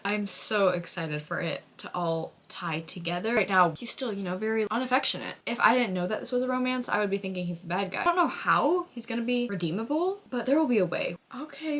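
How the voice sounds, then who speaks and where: A young adult American woman chatting casually in a reading vlog, with an expressive, gushing fangirl delivery and frequent use of "like". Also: medium pitch, normal speed. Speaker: book vlogger.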